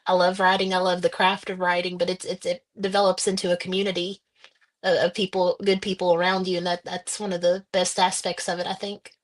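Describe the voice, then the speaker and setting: A woman author answers interview questions in a low-set, flat, even voice. She talks hesitantly, pausing often and restarting her thoughts. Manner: hesitant, with many fillers and restarts. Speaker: author guest.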